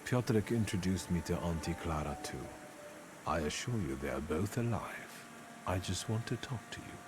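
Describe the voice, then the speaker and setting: An actor voicing a grave young male investigator in a video game, speaking in a low, composed way. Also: animated, normal speed. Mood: calm. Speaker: game protagonist. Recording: game audio with ambient noise.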